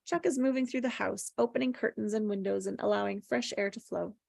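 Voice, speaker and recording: A woman's voice: mid-range voice, fairly quick, gravelly, darkish tone, dry recording, clean studio sound. A woman reading screenplay stage directions as plain, descriptive narration at a table read.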